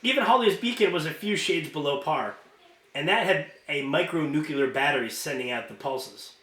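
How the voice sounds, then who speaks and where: Guy reading a book out loud, kinda high voice, casual but expressive, echoey home recording. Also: moderate pace. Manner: informal, stumbles and self-corrects. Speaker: amateur audiobook reader. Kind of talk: reading a novel aloud, expressive narration. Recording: roomy, echoing home recording.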